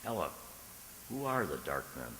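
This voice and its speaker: A man playing an earnest, anxious, lovestruck gentleman in a radio drama, with a stammering, dramatic delivery. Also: low-pitched, sing-song, normal speed, echoey room, long reverb tail, slight background noise, heavily acted. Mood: horrified. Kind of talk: radio drama acting, journal narration and dialogue.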